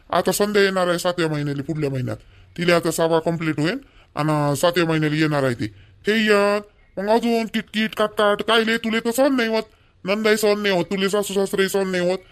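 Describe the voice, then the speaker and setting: A man plays a scolding young village husband in a homemade cartoon. His voice sits high, and he nags bluntly and repetitively in a rural Vidarbha Marathi accent. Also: steady tone, fast, smooth, natural voice. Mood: scolding, accusing. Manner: blunt, nagging, repetitive complaining. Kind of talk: amateur cartoon dialogue in rural dialect.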